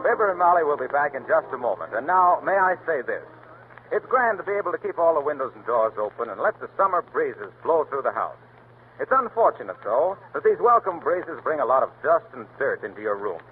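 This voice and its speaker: Male announcer, lively delivery, tinny 1930s radio with hiss. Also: fairly quick, soft background music. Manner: polished, upbeat pitch. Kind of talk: scripted radio commercial.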